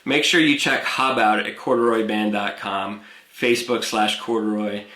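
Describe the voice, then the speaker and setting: A man's voice: fairly low pitch, moderate pace. A man hosting a music interview series, asking questions and chiming in with short affirmations. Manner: relaxed, affirming listener. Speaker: interview host. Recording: echoey room.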